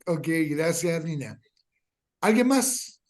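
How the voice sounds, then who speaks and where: A man hosting an informal workshop, speaking hesitantly in short phrases with pauses. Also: on the high side, big pitch swings, a little mellow. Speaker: workshop host and writer.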